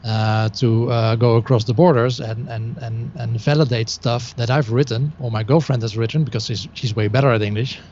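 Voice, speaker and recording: A man's voice: mid-range voice, fairly even intonation, normal speed, few pauses, darkish tone, lo-fi sound, a little hiss or hum. A Dutch man whose first language is Dutch, talking as a guest in a casual podcast chat, hesitant with many restarts and fillers. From Netherlands.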